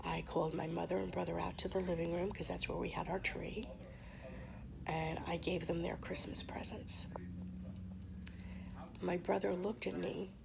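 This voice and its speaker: A woman vlogger losing her voice to hoarseness, telling a personal story to camera in a soft, halting way with many pauses. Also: on the low side, little pitch movement, noisy background.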